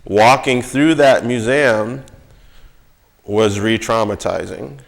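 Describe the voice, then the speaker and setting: A man hosting a reflective conversation, speaking deliberately and emphatically and repeating phrases for weight. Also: mid-range voice, vocal fry. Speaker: host interviewing an illustrator.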